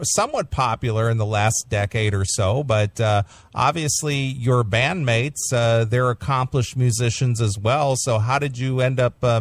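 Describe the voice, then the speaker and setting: A man's voice: mid-range voice, dry recording. A man hosting a classic metal radio show, interviewing a guest by phone in a rambling way with many fillers. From United States.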